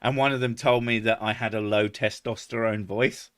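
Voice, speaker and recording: Deep-voiced man, casual swearing chat. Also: fairly even intonation, normal speed, fairly bright tone, dry recording, clean studio sound. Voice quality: deep natural voice. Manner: swears freely, laughs often, self-mocking. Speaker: podcast host.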